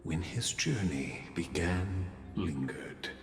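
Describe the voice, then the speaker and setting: Deep, very slow epic narrator. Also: male voice, lively intonation, full character voice. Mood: solemn. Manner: solemn, measured, dramatic pauses. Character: grave male narrator of an epic legend. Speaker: story narrator. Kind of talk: scripted epic video game narration. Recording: echoey hall reverb over music. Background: music.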